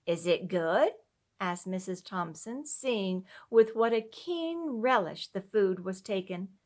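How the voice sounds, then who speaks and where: A woman's voice: medium pitch, lively intonation, slow pace, a little mellow. Woman reading an old-fashioned storybook tale. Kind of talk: expressive scripted narration of old-fashioned story.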